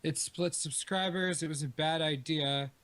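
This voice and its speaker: Higher-voiced man, firm and accusatory. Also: fairly even intonation, a little slow, a bit bright. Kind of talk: informal argument.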